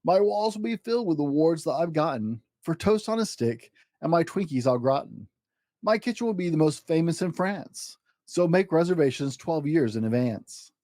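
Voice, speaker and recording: A man's voice: a little high, normal speed, dry recording, natural voice. A man voicing an exuberant, boastful eight-year-old schoolboy in a theatrical, rhyming picture-book story. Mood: grandly boastful. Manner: excitable, grandiose, theatrical.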